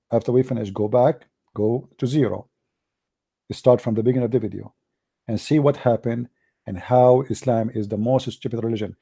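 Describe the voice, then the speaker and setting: A man's voice: mid-range voice, creaky, dark tone, breathy edge, no room sound. A man hosting a heated call-in debate, his voice tired and dry, combative and repeating himself. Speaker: debate show host.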